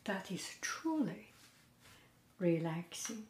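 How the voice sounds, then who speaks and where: A woman hosts a quiet, casual chat, speaking gently in a half-whisper. She talks at a very slow, unhurried pace. Her voice sits in a noticeably echoey room, with a faint hiss underneath. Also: mid-range voice, big pitch swings. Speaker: podcast host. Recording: roomy echo with faint noise.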